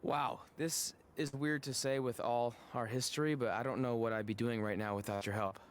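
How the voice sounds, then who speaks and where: A man's voice: mid-range voice, little pitch movement, moderate pace, natural voice. A man voicing an anxious, goofy young wrestling champion in a video game cutscene, self-deprecating and earnest. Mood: earnest, grateful.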